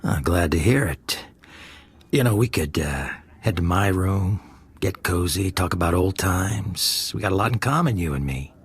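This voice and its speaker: Game role: sleazy smuggler con man. Rough-edged, very animated delivery, chummy and insinuating. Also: low-pitched, normal speed, exaggerated voice. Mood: oily, flirtatious. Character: sleazy, wheedling male space-smuggler swindler, falsely chummy. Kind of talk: video game voice acting.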